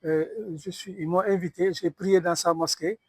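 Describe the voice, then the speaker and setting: A man's voice: high voice, fairly even intonation, normal speed, mellow, husky, dry recording. A man from Senegal, a sculptor guest in an interview, telling his story in an easygoing way with many hesitations. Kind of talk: conversational interview.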